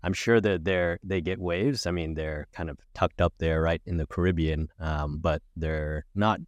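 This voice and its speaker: A man's voice: low-pitched, fairly even intonation, unhurried, no room sound, muffled recording. A man hosting a podcast, talking in an easygoing way with many hesitations. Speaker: podcast host. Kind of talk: casual interview talk.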